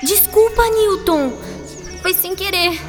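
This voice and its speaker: A curious schoolgirl with a high voice, chattering and teasing in an expressive, informal way. It is a radio drama, set in long reverb with music behind. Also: slow. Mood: hurt, apologetic.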